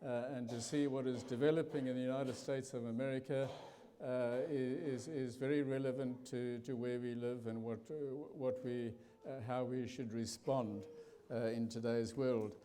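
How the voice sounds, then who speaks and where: An older man with a South African accent giving a testimony talk in church, pausing often with fillers and restarts. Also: medium pitch, fairly even intonation, mellow, a little hiss or hum. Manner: halting, many fillers and restarts. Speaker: guest preacher.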